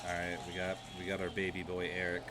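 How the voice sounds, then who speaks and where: A man live-streaming crude, loud, mocking reaction commentary from a home desk mic. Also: low-pitched, completely flat delivery, fast, slight background noise.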